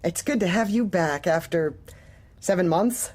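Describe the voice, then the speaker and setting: Manipulative female boss: low-voiced, officious, falsely polite, dark-comedy audio drama. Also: unhurried. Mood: falsely pleasant. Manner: clipped, officious, controlled.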